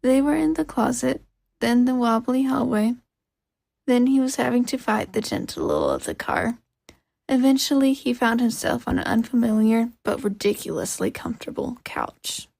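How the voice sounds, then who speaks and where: A woman reading scripted fiction as an expressive audiobook-style narrator. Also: fairly high pitch, normal speed, gravelly, no echo. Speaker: podfic narrator.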